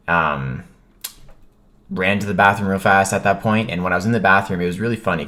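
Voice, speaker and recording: Male vlogger, American accent, low-pitched voice, informal first-person storytelling with clipped phrasing. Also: a bit bright.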